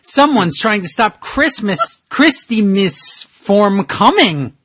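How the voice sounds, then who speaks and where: A man acting out a determined cartoon hero rallying friends, earnest and urgent. It is a hammy comic reading with lively, swinging pitch and a dry sound. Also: slow pace, dry recording, full character voice. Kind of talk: mock dramatic reading of fan fiction.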